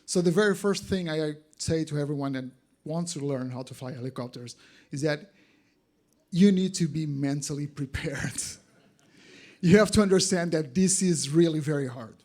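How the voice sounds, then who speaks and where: A man's voice: a little high, moderate pace, a little mellow. A man with a Portuguese accent giving an informal live presentation, talking directly to his audience in a conversational way. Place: in front of an audience at an expo.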